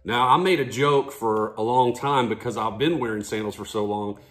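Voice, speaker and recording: A man's voice: medium pitch, moderate pace. A man in his forties talking casually to camera as a vlog host, in a folksy, conversational way, recorded in a living room. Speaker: fishing vlogger, host.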